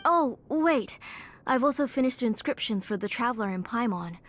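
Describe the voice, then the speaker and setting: An actress voicing a soft-spoken, caring mother in a game, earnest and gentle. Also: on the high side, lively intonation, normal speed, slight background noise, lightly acted. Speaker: mother and name engraver.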